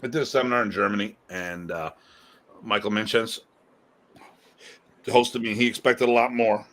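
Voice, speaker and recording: A man co-hosting a podcast in casual conversation, talking in an animated, emphatic way with casual swearing. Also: lowish voice, normal speed. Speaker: podcast co-host.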